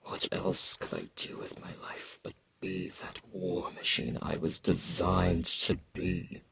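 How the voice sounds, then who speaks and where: A man acts out a gruff, aloof knight bred for war in an ASMR fantasy roleplay. His deep voice is heavily creaky and husky, with sibilant S sounds. He talks in terse, halting phrases, socially awkward and low-key, close to the mic in a slightly dull recording. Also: flat delivery, a bit bright, exaggerated voice. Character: gruff, aloof young battle-hardened genetically modified fantasy knight.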